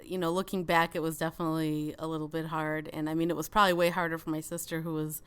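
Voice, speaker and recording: A woman with a mild Chicago accent talks as an interview guest, her voice smooth and clear and pitched a little low. She rambles casually, full of "you know" and restarts. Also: level tone, quick pace, a bit bright. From Soviet Union. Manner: chatty, many fillers and restarts, laughs between thoughts.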